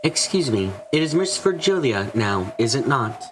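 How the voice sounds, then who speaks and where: A man voicing a formal, courteous and scholarly female visitor from heaven in a visual novel fan dub, speaking in a deliberate, stiffly polite manner. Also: medium pitch, big pitch swings, music in the background, lightly acted, rough recording. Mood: formal, composed.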